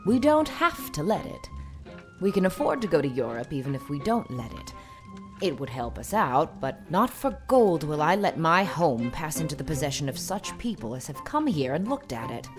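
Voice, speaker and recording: A woman's voice: low-pitched, very animated, normal speed, music in the background, voice pushed down. A woman voicing a grim, blunt old lady of seventy in an audiobook, with stern, emphatic, old-fashioned pronouncements. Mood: decisive. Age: older. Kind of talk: audiobook character voice.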